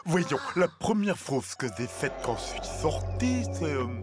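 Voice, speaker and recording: Cartoon alien guinea pig: gluttonous, naive, apologetic, very lively intonation. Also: medium pitch, a little slow, music in the background. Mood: scatterbrained, cheerful. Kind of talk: French children's cartoon dubbing.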